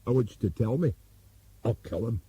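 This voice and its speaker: A man reads as a brooding young husband in an audiobook, stubborn and protective. His delivery is terse and grave, the tone mellow with a very husky grain. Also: mid-range voice, animated, fairly quick. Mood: stern.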